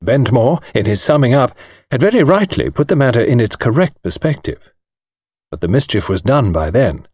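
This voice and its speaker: British guy reading a mystery audiobook, pitch moves around a lot, super dry sound. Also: big pitch swings, moderate pace, a little mellow, slightly rough, no room sound. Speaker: audiobook narrator. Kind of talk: scripted narration of a mystery novel.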